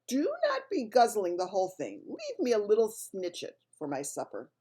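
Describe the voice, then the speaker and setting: A kind, timid old giant pleads and coaxes in a high, eager voice full of mangled grammar, as a woman puts him on while reading a storybook. The voice swoops up and down and stays soft and breathy. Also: wildly swooping pitch, moderate pace. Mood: coaxing.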